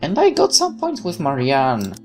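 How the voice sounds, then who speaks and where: A man doing a let's play, reading game text aloud and adding chatty, expressive commentary. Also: a little high, a little slow. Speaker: gaming YouTuber. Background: guitar music.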